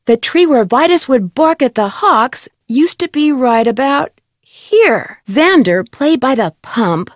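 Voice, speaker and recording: Young girl detective for an adventure game: observant, plucky, high-pitched, dry close studio sound. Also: sing-song, takes it slowly, no room sound, heavily acted. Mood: thoughtful, musing. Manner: thinking aloud in clearly enunciated short remarks. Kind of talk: scripted adventure game voice lines.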